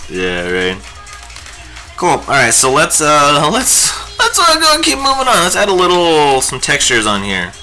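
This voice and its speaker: A man's voice: a little high, wildly swooping pitch, unhurried, pushed and bright, faint music behind. A young man hosting a live painting stream, chatting in a rambling, jokey way while he works. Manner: rambling and jokey, lots of fillers. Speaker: livestream host teaching digital painting.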